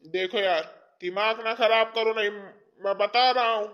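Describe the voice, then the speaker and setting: A man's voice: high-pitched, fairly even intonation, normal speed, fairly bright tone, highs cut off. A man playing a middle-aged father-in-law in a domestic skit, blunt and colloquial. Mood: irritated, scolding. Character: irritable father-in-law, gruff with wife, sweet on daughter-in-law.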